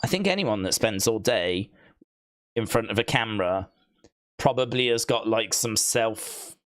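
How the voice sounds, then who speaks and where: A man hosting a casual livestream, chatting to viewers in a rambling, joking way with deadpan asides. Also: fairly low pitch, moderate pace. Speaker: livestream host. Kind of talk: casual watch-along chat, reading viewer comments.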